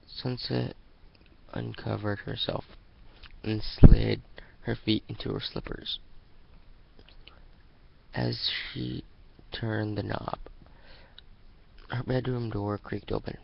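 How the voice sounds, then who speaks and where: A man narrates a story in a slow, very gravelly voice. He reads dramatically but haltingly, sometimes stumbling and restarting. The recording is dull and lo-fi. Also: on the low side, little pitch movement. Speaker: amateur fan fiction reader.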